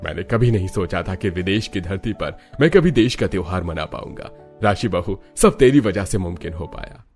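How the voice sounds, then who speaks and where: Cartoon family patriarch, played by a man: elderly, traditional, affectionate, low-pitched, faint music underneath. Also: animated, moderate pace, darkish tone. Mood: grateful. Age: older. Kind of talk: Hindi cartoon story dialogue.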